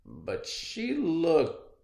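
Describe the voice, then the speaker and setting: A man's voice: fairly high pitch, wildly swooping pitch, drawn-out words, creaky, darkish tone. A man reading a children's story aloud as a storyteller, with theatrical, emphatic phrasing. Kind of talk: animated children's read-aloud. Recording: roomy, echoey indoor recording.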